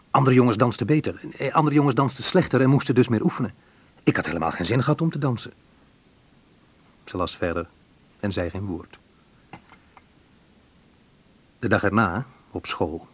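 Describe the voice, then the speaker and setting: An actor playing a wistful man recounting his youth in a reflective, measured radio play narration, with a slightly husky voice. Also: on the low side, no echo. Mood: wry, hurt. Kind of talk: literary first-person radio play narration.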